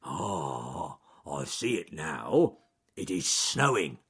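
A man acts out a big male bear from a storybook. The voice is low and growly, with a husky edge. He draws his words out slowly, grumbling but friendly. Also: very animated, a bit bright, heavily acted. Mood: sleepy, grumbling. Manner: slow, drawn-out.

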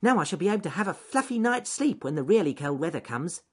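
A little fluffy rabbit from a storybook. The voice is pushed up high and swoops about excitably. He is timid and kind-hearted, fretting and repeating himself. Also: fast talker, breathy edge, exaggerated voice. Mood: busy, chattering.

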